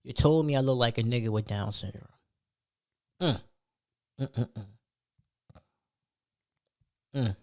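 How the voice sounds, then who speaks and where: Guy podcaster ranting casually, mid pitched voice, lots of slang, keeps repeating stuff for emphasis. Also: a little slow, long pauses. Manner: emphatic, slangy, repeats phrases and rhetorical questions. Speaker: podcast host telling a personal story. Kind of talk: casual solo rant.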